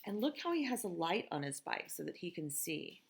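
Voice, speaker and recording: An American woman reading a picture book aloud to children in a gentle, expressive teacher's manner, with conversational asides. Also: fairly low pitch, brisk, vocal fry. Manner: gentle, expressive, asks listeners questions.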